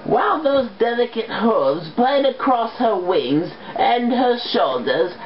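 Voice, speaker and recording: Woman, amateur story reading, unhurried, halting, lively pitch, faint background noise. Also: highs cut off. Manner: halting, stumbles over harder words. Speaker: amateur fan fiction reader. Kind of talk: story read-aloud.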